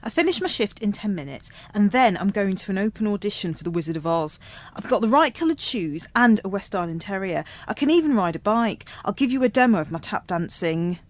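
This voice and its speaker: A woman's voice: mid-range voice, sing-song, brisk, lightly acted. A woman playing a deluded, eager young airport check-in clerk in a radio comedy. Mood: perky, proud. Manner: eager, self-assured, theatrical.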